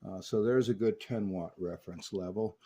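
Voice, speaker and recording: A man with a fairly low voice talks through a hands-on equipment demonstration. He explains things in a methodical, technical way, speaking off the cuff as he works. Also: normal speed, mellow, slightly rough. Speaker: radio restorer demonstrating equipment.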